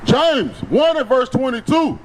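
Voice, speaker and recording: Very high-voiced man declaiming scripture. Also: expressive, rough recording. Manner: deliberate, drawn-out delivery. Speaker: scripture reader for a preacher. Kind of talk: loud declamatory Bible reading.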